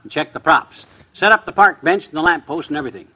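An actor playing a boastful, blustering husband in a folksy, excitable, self-important way, in a 1950s radio comedy. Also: male voice, on the high side, fast talker, slightly rough, exaggerated voice. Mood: bossy, hurried. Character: boastful, blustering husband dressed as a policeman for amateur sketch.

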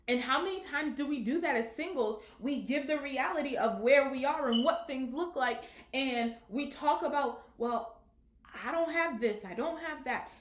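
A woman's voice: high voice, normal speed. Woman preaching in an echoey room. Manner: rhetorical questions with repeated "right?". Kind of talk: sermon on singleness.

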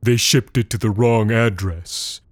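A man voicing a huge, menacing businessman giant who talks in a booming, sarcastic way. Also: dry recording. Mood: indignant. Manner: slow, theatrical, swings loud then soft. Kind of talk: storytelling narration.

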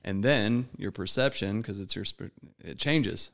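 Gravelly, low-voiced man speaking candidly. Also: a little slow, a bit bright. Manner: candid and earnest, talks openly about himself. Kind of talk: casual podcast conversation.

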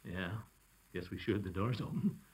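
A man's voice: sits low, animated, moderate pace, darkish tone, slightly rough. An American man in 1940s radio comedy style, playing a blustering, cowardly middle-aged uncle who bumbles as an amateur detective. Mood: reluctant. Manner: bumbling, nervous muttering and chuckling. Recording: noisy old radio recording.

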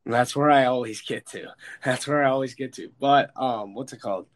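American man, sports podcast host, stuffy congested voice, slightly hoarse, casual swearing, emphatic, opinionated, medium pitch. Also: fairly quick, a little hiss or hum. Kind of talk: casual, profane football chat.

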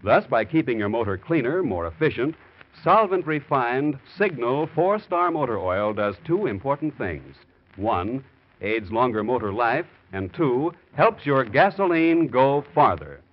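A man announcing on 1940s radio, delivering a polished, emphatic sponsor pitch. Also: sing-song, a little slow. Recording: muffled 1940s radio recording.

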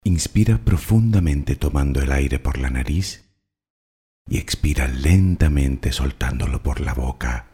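A man leading a guided meditation, speaking soothingly and deliberately in short phrases of instruction and affirmation. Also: a bass voice, lively intonation, mellow.

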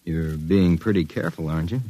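Low-voiced, wry investigator. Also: male voice, fairly quick, warm tone, no echo, muffled recording. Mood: probing. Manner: terse, matter-of-fact, wry. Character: cool, probing male undercover investigator narrating his case. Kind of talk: old-time radio detective narration and dialogue.

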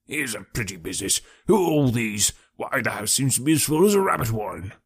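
Portly middle-aged policeman, husky, wheezy, muffled, pompous, blustering, hearty, storybook character, dry close sound. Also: mid-range voice, sing-song, a little fast, dry recording. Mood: indignant bluster. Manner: hearty, pompous, repeating his phrases.

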